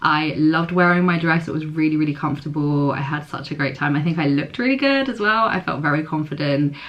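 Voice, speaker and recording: A young woman with a husky, hoarse voice, chatting casually to camera in a vlog and using lots of fillers. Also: low-pitched, fairly quick, highs cut off. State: hoarse, recovering voice. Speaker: vlogger.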